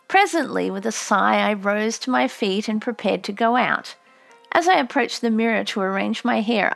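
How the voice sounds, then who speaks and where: A woman reading a memoir as an audiobook narrator, with measured storytelling delivery. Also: on the high side, creaky, fairly bright tone.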